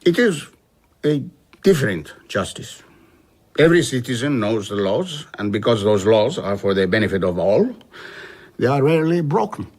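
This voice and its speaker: A man's voice: mid-range voice, big pitch swings, normal speed, darkish tone. An actor playing a haunted, wistful traveller reflectively recounting his past in a radio drama. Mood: grave. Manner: reflective, storytelling.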